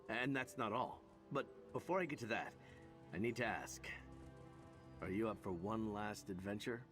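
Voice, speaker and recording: An actor playing a wry, roguish heroic knight in a fantasy game, with a conversational delivery. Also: male voice, on the low side, moderate pace, fairly bright tone, faint music behind, natural voice. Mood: earnest, uneasy. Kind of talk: scripted game dialogue.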